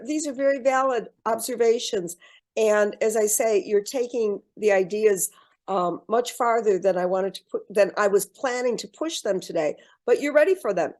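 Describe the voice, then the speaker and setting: A woman presenting an online workshop on a video call, speaking in a measured, encouraging way with frequent ums and restarts. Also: medium pitch, darkish tone. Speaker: program presenter.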